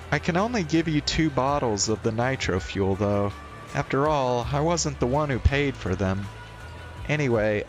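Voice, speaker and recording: Friendly, polite video game character, read aloud by a male streamer, pitched up from his usual talk, helpful tone, music underneath. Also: close to an everyday voice. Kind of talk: reading game dialogue aloud.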